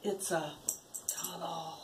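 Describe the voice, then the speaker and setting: A woman voicing an excitable, wide-eyed young boy in a children's storybook, in hushed, awed exclamations. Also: fairly low pitch, slow pace, noisy background.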